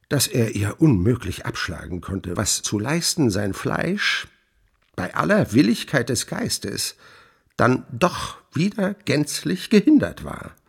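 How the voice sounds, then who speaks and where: A man playing a grandiloquent scholar in a radio play, recounting witchcraft lore with relish in declamatory, archaic phrasing and emphatic pauses. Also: on the low side, big pitch swings, gravelly, slightly rough, full character voice. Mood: relishing, dramatic. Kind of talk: theatrical literary storytelling in a radio play.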